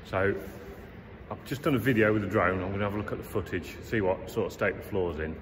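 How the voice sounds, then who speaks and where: A man's voice: lowish voice, quick pace, a little hiss or hum. A middle-aged English man vlogging, talking chattily and matter-of-factly through each step while holding the camera at arm's length. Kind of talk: casual vlog narration. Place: large empty derelict warehouse, indoors. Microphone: handheld camera at arm's length. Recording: long echo in a big empty warehouse.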